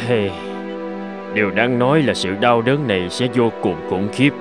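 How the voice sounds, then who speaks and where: An actor playing a grave, sorrowful middle-aged doctor in a cartoon, speaking in a solemn, measured way. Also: male voice, medium pitch, music in the background, close to an everyday voice. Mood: grave, regretful. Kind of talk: Vietnamese cartoon voice acting.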